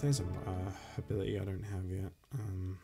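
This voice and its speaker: A man rambling casually and swearing while playing a game, his voice going hoarse. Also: low voice, fairly even intonation, normal speed, over music. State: losing his voice. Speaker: gaming YouTuber. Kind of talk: casual let's-play commentary.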